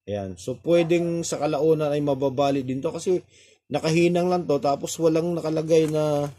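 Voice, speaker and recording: Male repair tutorial presenter, Filipino accent, mid-pitched mellow voice, casual and halting hands-on explanations. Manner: halting, explains step by step with pointing asides. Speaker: audio repair technician and reviewer.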